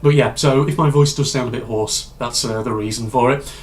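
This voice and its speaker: A man hosting a casual reaction video, rambling and chatty with lots of fillers, his voice slightly hoarse and congested from a cold. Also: medium pitch, fairly even intonation, fairly quick.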